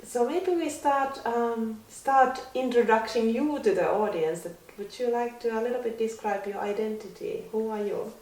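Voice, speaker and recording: A woman interviewing a guest, asking gentle questions and using many fillers. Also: fairly high pitch, normal speed, hardly any pauses. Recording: echoey room, mic at a distance.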